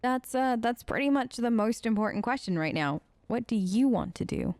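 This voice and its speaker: A woman with a high voice, recorded close and dry. She role-plays her game character as a let's play host, talking in a casual, rambling, hesitant way. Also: lively intonation, normal speed, darkish tone, no room sound. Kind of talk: improvised in-character game dialogue, casual chat.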